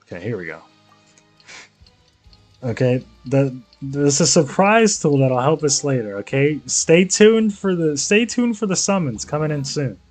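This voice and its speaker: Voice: mid-range voice, big pitch swings, measured pace, a little mellow, soft background music. A streamer chatting casually while playing a game, rambling with sweary, jokey asides. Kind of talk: casual livestream chat and game reactions.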